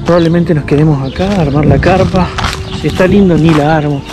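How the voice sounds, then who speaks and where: A man's voice: on the high side, steady tone, a little fast, over loud music. A man in his thirties with an Argentine accent, chatting casually to an action camera held at arm's length outdoors while touring by bike. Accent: Argentine Spanish. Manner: chatty, easygoing, thinks aloud with fillers. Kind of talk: casual travel vlog talk to camera. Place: outdoors at an abandoned campground.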